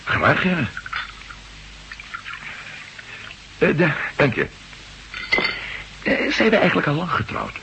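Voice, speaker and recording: An actor playing a bewildered, hesitant husband with lost memory, stammering and gruffly polite in a Dutch radio drama. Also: male voice, on the high side, huge pitch swings, unhurried, heavily acted. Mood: hesitant, curious. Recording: faintly hissy old radio recording.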